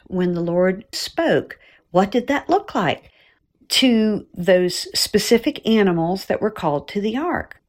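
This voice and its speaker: A woman talking as an author on a children's podcast, explaining things in a conversational style. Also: lowish voice.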